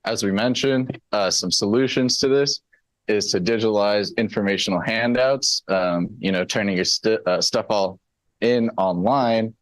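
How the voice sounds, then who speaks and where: A man's voice: lowish voice, moderate pace, no echo, poor audio. Laid-back teen guy presenting. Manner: casual, lots of fillers, slangy. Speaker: student presenter. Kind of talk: casual presentation.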